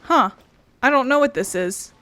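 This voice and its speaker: A woman's voice: high-pitched, expressive, moderate pace, bright, forceful tone, no room sound. A woman giving casual gameplay commentary as a let's player, muttering and self-deprecating. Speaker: game streamer, let's player. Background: game music.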